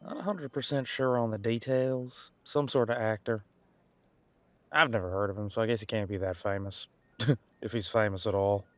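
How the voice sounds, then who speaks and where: A man's voice: lowish voice, steady tone, normal speed, no room sound, lightly acted. A man playing a casual, wry police detective in an audio roleplay, musing in a conversational, hesitant way.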